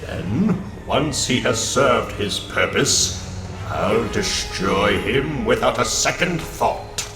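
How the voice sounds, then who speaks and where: Pompous, slow-talking supervillain. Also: male voice, medium pitch, animated. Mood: smug, persuasive. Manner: pompous, theatrical, deliberate. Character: arrogant scheming male supervillain, grandiose and cold. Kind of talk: comic book dramatic reading. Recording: roomy echo under loud background music.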